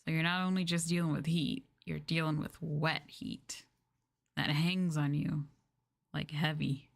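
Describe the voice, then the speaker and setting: A woman with an American accent talking softly and casually as an ASMR streamer, gently and unhurriedly replying to her chat. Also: deep voice, steady tone, measured pace, creaky. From United States.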